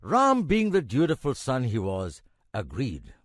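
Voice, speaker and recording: A man playing a kindly old grandfather in a children's cartoon, narrating a legend in a measured, expressive way with emphatic pauses. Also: medium pitch, no echo, clean studio sound. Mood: patient storytelling.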